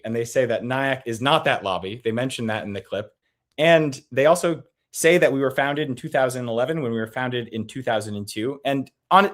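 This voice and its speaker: Earnest male advocate from the US, mid-pitched, quick and stumbling, heard over a video call. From United States. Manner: earnest, rapid, with restarts and nervous laughs. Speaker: advocacy group guest. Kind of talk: interview, political advocacy.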